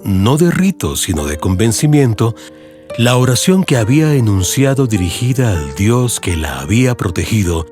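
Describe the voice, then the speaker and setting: Male narrator, low register and expressive melody, measured dramatic literary delivery with clear music underneath. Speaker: audiobook narrator. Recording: music behind the voice.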